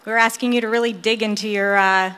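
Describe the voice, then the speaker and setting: Woman host running a roundtable, mid pitch, long hall echo, explains questions fluently. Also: steady tone, brisk, fairly bright tone, clear, resonant tone. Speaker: moderator. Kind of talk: facilitating a roundtable discussion.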